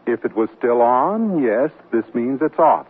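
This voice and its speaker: Wry newlywed husband, tinny old radio. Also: male voice, mid-range voice, very animated, measured pace, breathy edge. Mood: wry, placating. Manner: wry, bantering. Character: newlywed husband, wry and mildly sheepish businessman. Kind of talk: old-time radio comedy acting. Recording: thin, hissy vintage radio recording.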